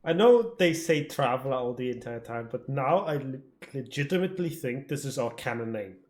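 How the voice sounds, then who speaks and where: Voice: medium pitch, lively intonation, a little fast, a little mellow. A game streamer giving casual, joking, sweary reaction commentary over gameplay.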